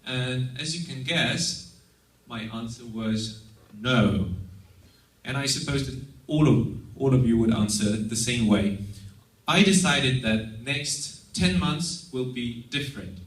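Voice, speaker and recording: A man's voice: mid-range voice, fairly bright tone. A young man from Estonia with a Russian accent, a native Russian speaker, giving an earnest prepared talk as an exchange student in a lecture hall. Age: young adult. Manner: earnest, measured, with occasional restarts. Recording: roomy sound, mic at a distance.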